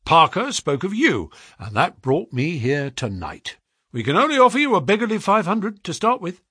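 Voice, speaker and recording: A man playing a brisk, sharp-talking financier. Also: high-pitched, sing-song, husky, no echo, studio quality, voice pushed up, full character voice. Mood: brisk, eager.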